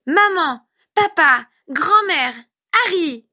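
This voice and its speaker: A woman's voice: sits high, normal speed, bright, forceful tone, pitched above the performer's own voice. A woman voicing an eager, polite little boy who is curious and easily delighted, in a read-aloud story.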